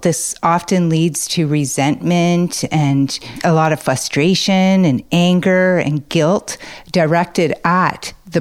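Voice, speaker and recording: A woman's voice: low voice, a little mellow. A woman speaking as an expert guest, giving earnest, formally phrased explanations in an interview.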